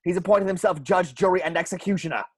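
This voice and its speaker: A man's voice: sits high, fast, breathy edge. A man reading an earnest, urgent part in an amateur table read over a video call.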